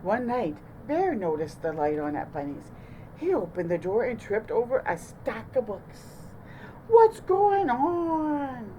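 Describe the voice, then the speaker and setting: A woman reads a children's story aloud as a storytime host. Her voice is soft-toned and unhurried, expressive and deliberate, with clear noise in the background. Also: mid-range voice, low-quality recording. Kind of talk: children's picture book narration.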